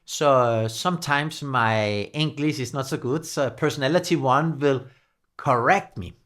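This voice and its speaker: A man in his forties, a native Danish speaker with a Danish accent, casually explaining numerology to the camera from a small home studio, animated and quick to laugh, with halting non-native phrasing. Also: mid-range voice. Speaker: numerology coach. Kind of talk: casual talking-head explainer. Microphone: large studio mic on boom arm.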